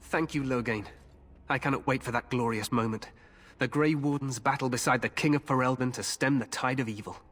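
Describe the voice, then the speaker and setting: A man's voice: medium pitch, a little fast, fairly bright tone, lightly acted. An actor playing an eager, glory-hungry young king in a video game, speaking in a regal, confident, commanding manner. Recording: long hall reverb with game music behind.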